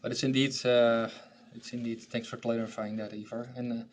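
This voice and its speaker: A man hosting a training session, walking through a software tool in a conversational style. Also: mid-range voice, level tone, a little fast, slight background noise. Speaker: training presenter and host.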